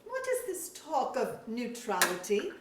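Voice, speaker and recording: A woman's voice: fairly high pitch, huge pitch swings, a little slow, crowd noise. A woman playing a proud, witty, scolding Lord Chamberlain in a staged reading of mock-Shakespearean drama, with emphatic, formal archaic speech. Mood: courtly, measured. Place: museum auditorium. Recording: echoey auditorium, distant mic.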